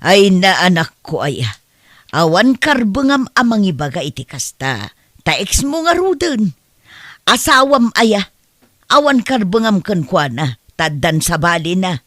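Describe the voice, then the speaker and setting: Woman playing middle-aged mother, Ilocano accent, medium pitch, scolding, blunt, exclamatory, dry recording, audio drama. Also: very animated, measured pace, a bit bright, no room sound. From Philippines. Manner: exclamatory, chiding. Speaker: voice actor in comedy drama.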